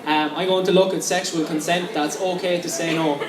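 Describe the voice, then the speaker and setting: Young man, student candidate, earnest and hesitant; slightly high voice, distant mic in a roomy hall with crowd. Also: flat delivery, brisk. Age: young adult. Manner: earnest, many ums and uhs. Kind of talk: campaign speech. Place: lecture room with an audience. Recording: echoey room, mic at a distance, crowd noise.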